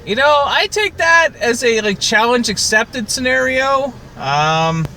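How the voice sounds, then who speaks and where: A man's voice: extremely high pitch, lively intonation, takes it slowly, vocal fry, sharp tone, slight background noise. A man co-hosting a podcast in casual, teasing banter, needling his friend as he talks. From Canada. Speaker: podcast co-host.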